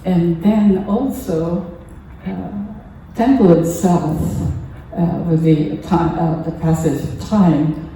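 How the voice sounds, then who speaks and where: An older woman from Japan, a native Japanese speaker with a Japanese accent, gives a halting, earnest personal talk as a lay speaker at a temple service. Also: lowish voice, dark tone. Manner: halting, earnest, pausing often mid-phrase. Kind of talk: personal testimony talk to a congregation. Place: temple hall during a service. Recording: echoey room, distant mic, faint noise.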